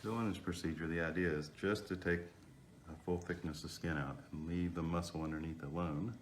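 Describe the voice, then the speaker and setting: A man with an extremely low voice talks as a surgeon at work. He explains what he is doing in a matter-of-fact, reassuring manner, with faint noise in the room. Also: steady tone, fairly quick. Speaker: plastic surgeon. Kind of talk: explaining a procedure while operating. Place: indoor surgical procedure room.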